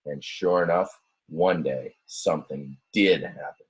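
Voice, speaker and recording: Husky-voiced man reading a storybook aloud. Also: extremely low pitch, unhurried, low-quality recording. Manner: expressive, dramatic, explains words to young listeners. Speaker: teacher reading a picture book aloud. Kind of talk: children's storybook read-aloud with simple asides.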